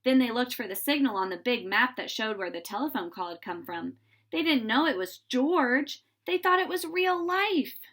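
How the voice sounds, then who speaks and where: A woman reading a children's story aloud in an animated, dramatizing way, with pauses for suspense. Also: a little high.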